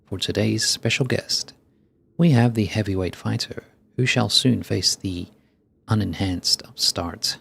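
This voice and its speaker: A man's voice: fairly low pitch, gravelly, slightly rough, heavily acted. A man voicing a florid, formal fight show presenter from a video game, announcing with theatrical deliberation. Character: grandiose, theatrical fight show presenter addressing combat fans. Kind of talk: scripted game dialogue read aloud. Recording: faint music behind.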